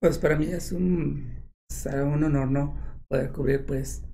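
Man w/ a cold, gravelly and hoarse, dark mellow voice, bit of room hiss. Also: a little high, steady tone, a little slow. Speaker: guest photographer. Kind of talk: casual interview chat.

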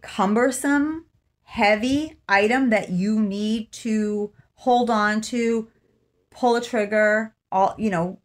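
A middle-aged woman giving casual true-crime commentary to camera, conversational and thinking aloud, recorded on a phone at arm's length in a room at home. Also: mid-range voice. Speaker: vlogger. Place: small room indoors, seated in an armchair.

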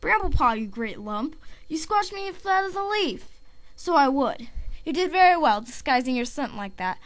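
Woman acting a tomcat clan leader, high voice, teasing but encouraging mentor type, animal story audition. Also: sing-song, moderate pace. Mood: playful scolding.

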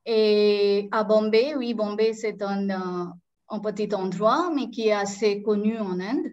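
A woman's voice: medium pitch, steady tone, a little slow, a little mellow. Indian woman on a dull video call. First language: Marathi. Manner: hesitant, many fillers and restarts. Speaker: guest, Sanskrit teacher. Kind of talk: casual interview. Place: small room indoors.

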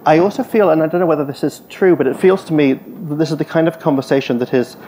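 A man's voice: mid-range voice, a little mellow, slight background noise, rough recording. A man moderating a panel discussion on a festival stage, speaking with frequent hesitant fillers. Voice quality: hesitant, filler-heavy phrasing. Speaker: event chair.

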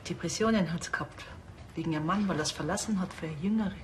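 Actress as lowish-voiced Bavarian salon owner. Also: female voice, quick pace, a little hiss or hum, natural voice. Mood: matter-of-fact. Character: chatty female small-town beauty salon owner. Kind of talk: TV crime comedy acting.

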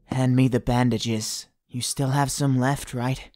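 A man playing a gruff, bossy companion who secretly cares, speaking tersely in a fan dub. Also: mid-range voice, level tone, measured pace, noisy background, lightly acted. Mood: gruff, impatient. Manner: terse, commanding.

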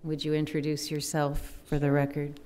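A woman in her fifties chairing a county commission meeting, measured and procedural, speaking into a desk microphone at the dais. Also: sits low, monotone, a little hiss or hum. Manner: measured, procedural, quietly reserved. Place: county commission hearing room, indoors.

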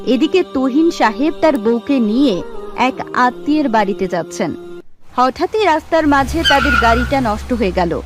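A woman's voice: high-pitched, animated, moderate pace, loud music behind the voice. A woman narrating a scripted cartoon moral story.

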